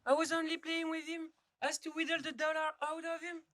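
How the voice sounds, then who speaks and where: A woman's voice: extremely high pitch, flat delivery, a little fast, a bit bright. A woman on stage acting a coaxing, wheedling member of a traveling troupe of players.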